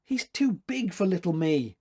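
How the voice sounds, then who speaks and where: A man's voice: sits high, big pitch swings, normal speed, darkish tone, slightly rough, pitched above the performer's own voice, exaggerated voice. A man voicing an earnest, self-doubting young woman in a storybook, with a pleading manner. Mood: dispirited.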